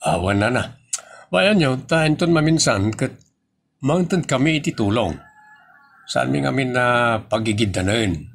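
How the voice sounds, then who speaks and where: A man's voice: mid-range voice, lively intonation, measured pace, vocal fry, pauses often, husky, full character voice. An Ilocano-accented man playing a kindly, patient charity vlogger in a comedy audio drama, speaking in a hesitant, conversational way. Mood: helpful, amused.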